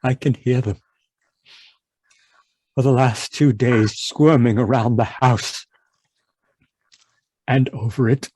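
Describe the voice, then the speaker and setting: Man playing a haunted, slow-speaking horror radio narrator. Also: medium pitch, fairly even intonation, mellow, slight background noise, close to an everyday voice. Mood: dread, despair. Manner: halting, repetitive, melodramatic delivery. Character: anguished, haunted man raving about monstrous giant worms. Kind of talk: old-time radio horror acting, dramatic monologue.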